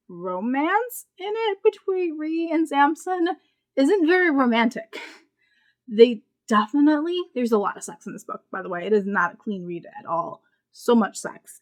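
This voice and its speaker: A woman reviewing books in a casual, informal way, thinking aloud in loose, rambling sentences. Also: high-pitched. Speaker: book reviewer. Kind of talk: casual spoken book review.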